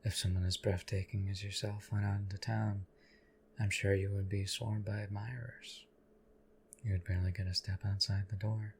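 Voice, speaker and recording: A man playing a stoic, loyal knight in an audio roleplay, speaking formally and deadpan to his liege in measured, courtly phrases. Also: fairly low pitch, a little hiss or hum, close to an everyday voice. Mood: stoic flattery. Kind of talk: scripted audio roleplay addressed to the listener.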